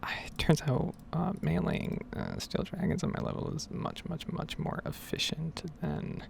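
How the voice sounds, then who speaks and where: A woman giving whispered and soft-spoken ASMR game commentary in a breathy, rambling, unhurried way. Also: low-pitched, wildly swooping pitch. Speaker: gaming ASMR video creator.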